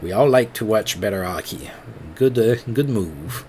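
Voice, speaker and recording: A man with a French accent, thinking aloud in halting, casual commentary while playing a management game on his computer. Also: fairly low pitch, animated, a little mellow, a little hiss or hum. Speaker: let's play host.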